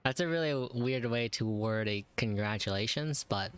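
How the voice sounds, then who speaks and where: A man's voice: medium pitch, normal speed, fairly bright tone, clean tone. A man giving casual, amused reaction commentary while playing a video game. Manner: informal, amused. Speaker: gamer reacting to a story quest. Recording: game music behind the voice.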